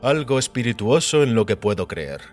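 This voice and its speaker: A man voicing a mysterious, composed and quietly assured visitor in audio fiction, speaking in a measured, calm way. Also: medium pitch, soft background music, pitched above the performer's own voice, natural voice. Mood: eager, wry.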